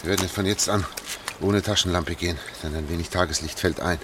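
Casting: a man to play a sober older guide in a German radio drama, low-pitched and deliberate, over noisy running water. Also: fairly even intonation, natural voice. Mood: tense, controlled. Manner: hushed, measured, deliberate. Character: sober, methodical older man guiding a girl through sewers. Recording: noisy track with trickling water. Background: running, dripping water.

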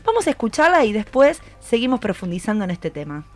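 A woman's voice: fairly high pitch, very animated, quick pace, darkish tone, soft background music. A woman with a Rioplatense Argentine accent hosting a conversational radio interview, often checking back with her guests. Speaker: radio host.

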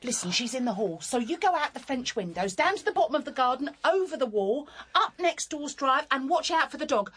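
An actress playing a blunt, brisk, exasperated house cleaner who bosses people about in a radio comedy drama. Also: female voice, sits high, sing-song, fairly bright tone, slightly rough, heavily acted. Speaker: actor.

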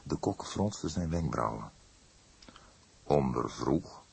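A man reading a detective story aloud as an audiobook narrator, in a measured, literary storytelling manner. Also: very deep voice, fairly bright tone, slightly rough.

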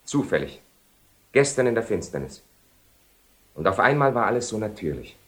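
An actor playing a proud, defiant young bridegroom in a radio drama, earnest and declamatory. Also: male voice, lowish voice, very animated, moderate pace, fairly bright tone. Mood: urgent confession. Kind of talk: 1970 German radio drama acting.

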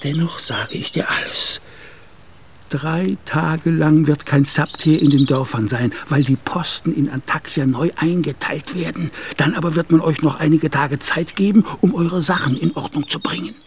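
A slightly high-voiced, anxious Turkish gendarme, played by a male actor in a radio play. He sounds furtive and aggrieved, pleading in halting phrases over clearly audible background noise. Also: lightly acted. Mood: furtive, fearful. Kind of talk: radio drama acting.

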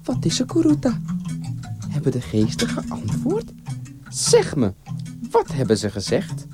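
A man's voice: on the high side, wildly swooping pitch, measured pace, over music, pitched above the performer's own voice. A man voicing a sly, mock-innocent village wife in a folktale, sweetly wheedling and exclamatory. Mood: mock concern.

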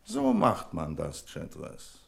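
An actor in a German radio play as a fussy, self-important bank branch manager, speaking in an officious, hesitant way. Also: male voice, extremely low pitch, huge pitch swings, takes it slowly, creaky, exaggerated voice. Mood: confiding, self-satisfied. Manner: pompous, officious, hesitating with small fillers. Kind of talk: German radio drama acting.

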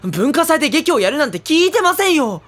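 A woman playing an exasperated, wry high-school boy in an audio drama, given to dry retorts and flustered protests. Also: big pitch swings, fairly quick. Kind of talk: anime-style audio drama acting.

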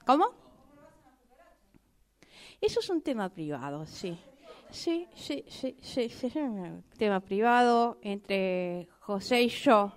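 A woman's voice: mid-range voice, sing-song, slow, pauses often. A woman with an Argentine accent voicing a chatty, sentimental mother cockroach in improvised comic radio theatre, repeating herself coyly. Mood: earnest, confiding. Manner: repetitive, coy, hesitant, conversational asides.

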